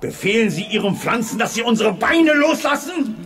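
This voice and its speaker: An actor playing a blustery, indignant general in a children's sci-fi radio drama, gruff and dramatic in manner. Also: male voice, very high-pitched, big pitch swings, normal speed, fairly bright tone, heavily acted. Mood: angry, defiant.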